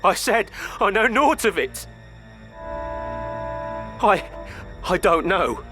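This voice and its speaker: An actor playing a frightened swordsman under interrogation in a video game, halting and desperate. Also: high voice, sing-song, exaggerated voice. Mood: terrified. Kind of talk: dramatic video game acting. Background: music.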